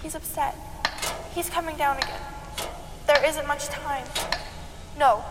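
Helpless frightened girl, radio drama, drawn-out high pleading voice on a very noisy recording. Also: steady tone, roomy sound. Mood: terrified, pleading. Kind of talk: audio drama acting.

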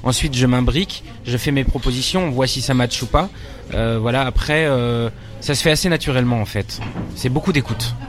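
French guy, young actor-director, lots of euh and restarts, mid-pitched voice. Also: fairly quick. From France. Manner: hesitant, many fillers and restarts. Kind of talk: interview answers.

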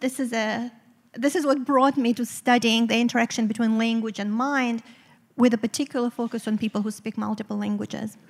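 A woman from Eastern Europe, a native Romanian speaker, talks through her research in a clear, explanatory way, with the odd filler. Her voice sits fairly high. It carries the long reverb of a theater stage. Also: steady tone, fairly quick. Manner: articulate, explanatory, occasional fillers. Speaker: guest researcher. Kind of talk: live stage interview. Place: theater stage before a live audience.

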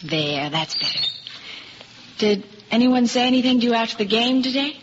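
An actress playing a devoted young sweetheart, brisk, coaxing and tender, in a 1950s radio drama. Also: female voice, a little high, lively intonation, close to an everyday voice. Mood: spirited, tender. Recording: very noisy, dull old radio recording.

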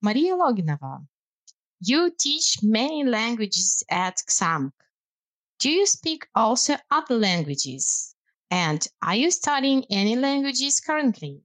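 A woman whose first language is Russian, a language lecturer explaining learning tips in a measured way on a podcast. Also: a little high, big pitch swings, no echo. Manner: measured, explanatory, with some hesitant fillers.

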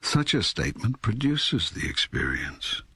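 An actor reading God in an audiobook dialogue as a calm, authoritative teacher, with measured, solemn delivery and deliberate emphasis. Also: male voice, medium pitch, breathy edge, no room sound, exaggerated voice. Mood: solemn, instructive. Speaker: audiobook co-narrator.

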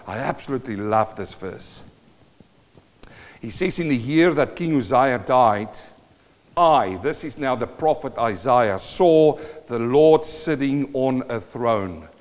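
A man's voice: mid-range voice, lively intonation, slow pace. A South African-born man preaching a sermon, emphatic and full of rhetorical questions to the congregation. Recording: long hall reverb.

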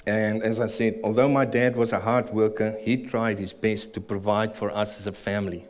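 South African man, lowish voice, nervous earnest church testimony with fillers and pauses. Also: little pitch movement. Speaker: church member giving testimony. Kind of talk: personal testimony and prayer in church. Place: church service.

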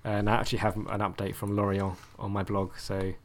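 A man's voice: fairly low pitch, completely flat delivery, a little fast, a little hiss or hum. A man hosting a podcast in a casual, conversational style. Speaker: podcast host. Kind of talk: casual chat, wrapping up a show.

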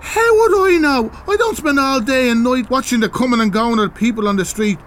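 An actor playing a grumpy, suspicious, confrontational Irish householder in a comedy audio drama. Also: male voice, very high voice, lively intonation, brisk, a bit bright. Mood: irritated. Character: irate, suspicious, foul-mouthed Irish householder.

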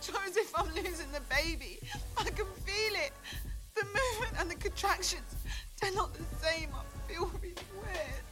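A woman's voice: very high-pitched, lively intonation, moderate pace, low-quality recording. An actress playing an emotional young mother in an audio play. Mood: frightened. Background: music.

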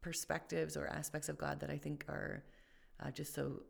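Low, gravelly-voiced woman co-host. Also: completely flat delivery, normal speed. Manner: thoughtful, rambling with many restarts and fillers. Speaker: podcast co-host. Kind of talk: casual faith conversation.

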